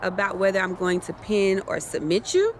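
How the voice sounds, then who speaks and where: A woman acts a swaggering champion wrestler in a game cutscene. Her voice swings freely in pitch, brash and playful, over faint background noise. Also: big pitch swings, normal speed, lightly acted. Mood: animated, candid. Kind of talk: naturalistic video game cutscene acting.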